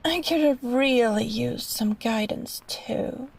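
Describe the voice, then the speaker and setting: Woman acting a slow, high-voiced clingy catgirl vampire. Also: creaky, husky, heavily acted, low-quality recording. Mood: wistful, self-pitying. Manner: soft, halting, pleading, breaking into sighs and small laughs. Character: clingy yandere female catgirl vampire, sweet but possessive. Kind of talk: intimate ASMR roleplay monologue.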